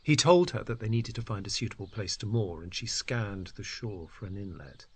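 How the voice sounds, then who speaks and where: A man reading a literary story in an expressive, measured, dramatic style. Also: on the low side, husky. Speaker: narrator. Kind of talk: dramatic literary story narration, acting out dialogue.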